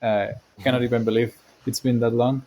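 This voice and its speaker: A man from Spain with a Spanish accent, a guest in a casual podcast chat on a video call, explaining his career in long, relaxed runs. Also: mid-range voice, fairly quick.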